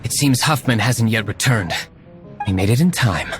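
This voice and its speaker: A man's voice: mid-range voice, lively intonation, moderate pace, faint music behind, lightly acted. A man voicing a stern, aloof young tavern owner in a video game, speaking in a curt, dry, formal way. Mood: brisk, composed.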